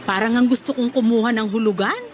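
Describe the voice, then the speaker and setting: A woman's voice: on the high side, quick pace, natural voice. An actress playing a demanding middle-aged Filipina mother who nags and pleads, in a Tagalog radio drama. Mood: coaxing.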